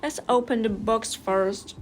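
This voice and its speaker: A woman's voice: a little high, measured pace, low-quality recording. A woman narrating a scripted product review step by step as the reviewer. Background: music.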